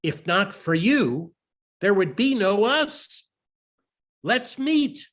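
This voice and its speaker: A man narrates a literary story in the first person. His voice is husky and his pitch swings widely for dramatic effect. He takes his time, keeping a slow, measured storytelling pace. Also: big pitch swings, fairly bright tone. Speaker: reader of a short story.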